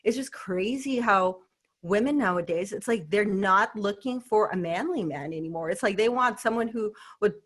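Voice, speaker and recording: A woman's voice: mid-range voice, normal speed, fairly bright tone. Outspoken woman. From Philippines. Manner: outspoken and emphatic, with many fillers like "you know" and "like". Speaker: podcast guest, fitness professional. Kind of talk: casual podcast conversation.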